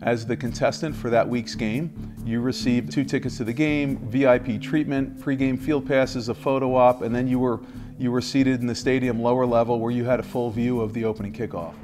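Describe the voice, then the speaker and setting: A man's voice: medium pitch, fairly even intonation, brisk. A man in his forties giving an explanatory interview in a living room. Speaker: team business executive. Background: light music.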